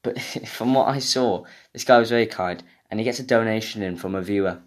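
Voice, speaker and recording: A man's voice: on the low side, a little fast. A young British man hosting a podcast, rambling through a casual comedic rant, sweary and mock-indignant. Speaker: podcast host.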